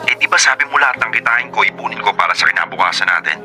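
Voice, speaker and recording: An actor playing a brusque, grumbling fiancé on a phone call in a Filipino radio drama, curt and impatient. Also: male voice, fairly low pitch, expressive, rapid-fire, pushed and bright. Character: brusque, grumbling, money-short tricycle-driver fiancé. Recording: music under the voice. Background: background music.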